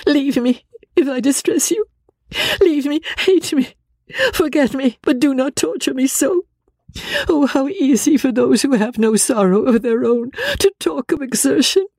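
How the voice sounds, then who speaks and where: A woman reading a classic novel aloud, playing a passionate, grief-stricken young woman with a wailing, halting, exclamatory delivery. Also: sits high, darkish tone, no room sound, voice pushed up. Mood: tormented, pleading. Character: anguished, passionate young woman, wildly grieving sister.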